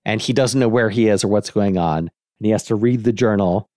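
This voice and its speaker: A man's voice: lowish voice, a little fast, a little mellow, no room sound, clean studio sound. A man talking casually as a podcast co-host, animatedly thinking aloud through ideas in a brainstorming discussion.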